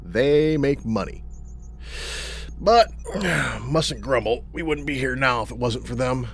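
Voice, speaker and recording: An actor playing a jaunty, blasé, chatty regular customer with a reckless streak in a comedy audio drama. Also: male voice, mid-range voice, lively intonation, slow, creaky, slightly rough, exaggerated voice. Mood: marvelling. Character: breezy, cheerfully reckless, chatty regular customer named Charlie.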